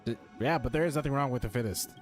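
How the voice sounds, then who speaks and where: A man's voice: medium pitch, fast. A man streaming a video game with casual, blunt, slangy live commentary and some swearing. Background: game music.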